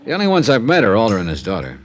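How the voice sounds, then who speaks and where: An actor with an American accent playing a tough, wry insurance investigator in a golden age radio detective drama, with a clipped, sardonic manner. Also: male voice, fairly low pitch, wildly swooping pitch, rapid-fire. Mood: dry, businesslike.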